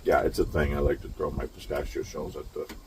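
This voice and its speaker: Deep-voiced rambling man in his fifties. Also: little pitch movement, brisk, noisy background. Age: 50s. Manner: rambling, easygoing, chuckles often. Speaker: truck driver vlogger. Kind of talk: casual outdoor vlog chat. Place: outdoors under a shelter in the rain. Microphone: phone camera.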